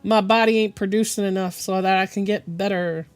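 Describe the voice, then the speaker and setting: A woman streaming while she draws, her voice hoarse and deepened by bronchitis, talking in a halting, rambling way and clearing her throat often. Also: steady tone, faint music behind. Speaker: digital art streamer. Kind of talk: casual live-stream chat.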